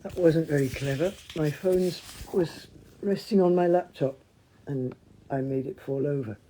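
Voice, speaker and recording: A woman leading a casual mindfulness livestream from home, talking in a chatty, meandering, gently informal way, with a hoarse voice, recorded on a phone. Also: low voice, a little slow, noisy background. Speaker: mindfulness teacher. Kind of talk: casual livestream with guided breathing. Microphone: phone, handheld at times.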